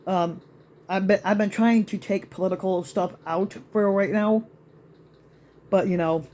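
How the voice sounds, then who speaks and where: Man, slightly raspy, high voice, rambling profane rant, vlog. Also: steady tone, a little slow, darkish tone, a little hiss or hum. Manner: rambling, many restarts and ums, profane. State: slightly raspy voice after being sick. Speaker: YouTube vlogger.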